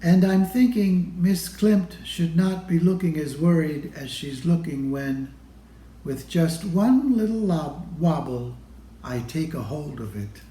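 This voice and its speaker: A man reading a children's picture book aloud in a deliberate manner, pausing between phrases. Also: a little high, mellow. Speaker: storybook reader. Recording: roomy, echoey room sound.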